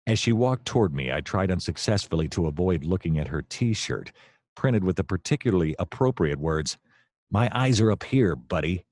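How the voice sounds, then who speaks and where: An actor playing a seedy, self-deluded private detective, narrating a comic noir radio play in a deadpan, melodramatic way. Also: male voice, low voice, normal speed, no room sound. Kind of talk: hardboiled noir first-person narration, comedic radio play.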